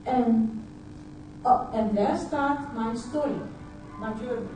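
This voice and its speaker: Woman with a Dutch first language, halting speech. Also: on the high side, dark tone, soft background music. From Suriname. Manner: halting, repeats words and fills with uh. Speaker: conference speaker. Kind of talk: personal talk at a conference. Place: large conference hall. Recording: echoey hall, voice picked up from far off.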